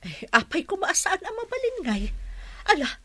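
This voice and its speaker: A woman's voice: extremely high pitch, wildly swooping pitch, moderate pace, pushed and bright, no room sound, exaggerated voice. A Filipino woman playing a kindly, sorrowful middle-aged mother in an Ilocano radio drama. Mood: welcoming.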